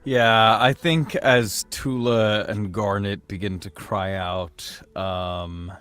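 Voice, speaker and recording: A man improvises as a player in a tabletop game. His voice is a bit low and he talks very slowly, describing his character in the third person with frequent halts and repeated words. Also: fairly even intonation, fairly bright tone, smooth, faint music behind. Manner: halting, repeating words as he goes.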